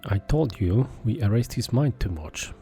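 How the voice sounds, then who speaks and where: A man's voice: lowish voice, expressive, normal speed, mellow. A man voicing an alien creature posing as a sister, speaking in a curt, scolding way.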